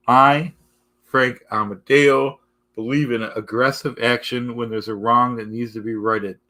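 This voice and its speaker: Man, hoarse, halting live-stream monologue, repeats folks and okay, average pitch. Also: sharp tone. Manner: repetitive, keeps saying "folks" and "okay"; halting, restarts often. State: losing his voice.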